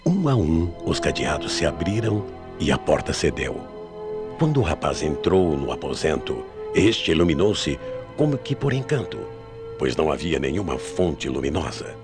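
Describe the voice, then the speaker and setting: A man narrating a radio mystery play in a grave, measured, dramatic storytelling manner. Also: rumbling low, sing-song. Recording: AM radio drama with loud music behind.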